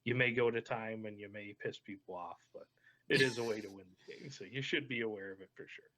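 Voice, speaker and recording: A man's voice: on the low side, fairly quick, pushed and bright. A man casually talking through a card game deck as a channel host, in an easygoing, colloquial way. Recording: dull, lo-fi voice track.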